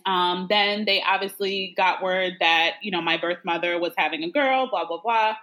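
A woman's voice: medium pitch, fairly bright tone, low-quality recording. A woman from New York, a guest in a casual interview, talking frankly and conversationally with lots of "like" and "you know". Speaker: guest, adoptee.

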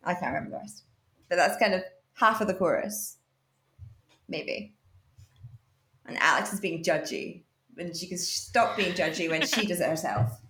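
A woman's voice: on the low side, normal speed, long pauses, pushed and bright. Woman, posh-leaning Scottish accent, roomy echo, self-mocking and giggly. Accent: slightly posh Scottish. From England. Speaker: podcast co-host. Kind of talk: casual podcast chat. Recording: echoey room.

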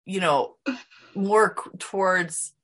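A woman's voice: medium pitch, takes it slowly, fairly bright tone. A woman hosting a podcast in a casual, chatty interview style. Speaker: podcast host.

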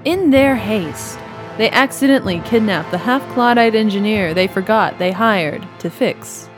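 A woman with a mid-pitched voice delivers scripted opening narration in an audio drama style, with music playing behind her. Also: moderate pace.

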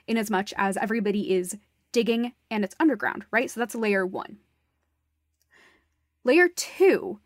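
A woman's voice: fairly high pitch, darkish tone, dry recording, studio quality. A woman co-hosting a casual, opinionated talk show, speaking in an emphatic, analytical way and swearing casually. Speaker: podcast co-host discussing a stage musical. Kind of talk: casual, opinionated critical discussion.

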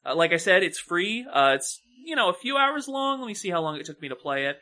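A man's voice: high voice, big pitch swings, brisk, pushed and bright. A man co-hosting a podcast, chatting casually and giving his recommendations. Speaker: podcast co-host.

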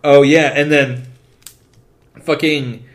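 A man co-hosting a casual podcast, speaking English as a second language, rambling excitably and swearing constantly. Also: fairly high pitch, slow. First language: not English. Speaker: podcast co-host. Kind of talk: casual chat.

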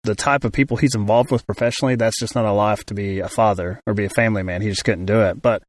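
A man's voice: fairly low pitch, steady tone, quick pace, no room sound. Thoughtful man in casual film talk. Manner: thoughtful, many restarts and fillers. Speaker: film podcast co-host.

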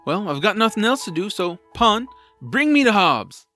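Man playing a lively, boyish young hero. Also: high voice, normal speed, faint music behind, exaggerated voice. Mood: cheerful. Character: friendly boyish young hero, sensible best friend. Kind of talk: family audio drama acting.

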